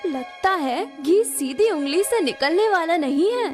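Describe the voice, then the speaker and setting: A woman's voice: very high-pitched, lively intonation, a little slow, soft background music. A woman voicing a wicked, grasping fairy in a cartoon, with an imperious, demanding manner. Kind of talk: Hindi moral-story cartoon acting.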